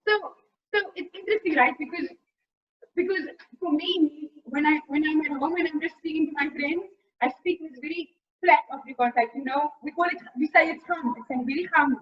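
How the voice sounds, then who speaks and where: High-voiced Cape Town woman on a video call. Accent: Cape Town South African. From Cape Town, South Africa. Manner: lively, quick restarts and repeated words. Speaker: host. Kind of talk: casual conversation. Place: small indoor room. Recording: dull lo-fi video call audio.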